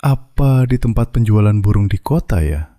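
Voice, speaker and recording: A man voicing a humble middle-aged satay seller in an animated cartoon, polite and deferential. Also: on the low side, moderate pace, dry recording, studio quality, natural voice.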